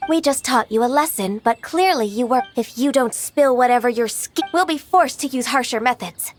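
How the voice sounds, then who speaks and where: A woman playing a composed, earnest young woman in a video game, speaking firmly in polite, measured, formal phrases. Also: fairly high pitch, natural voice. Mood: stern. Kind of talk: video game character acting. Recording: game music behind voice.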